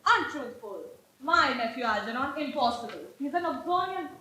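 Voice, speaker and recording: An older Victorian aristocratic aunt, condescending and grandly theatrical. Her high voice swoops widely as she declaims with pompous authority. It is stage comedy, recorded in a roomy, echoing space. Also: expressive, normal speed, pushed and bright, a little hiss or hum. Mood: haughty, dismissive. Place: indoor stage in a roomy hall. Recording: echoey amateur stage play recording.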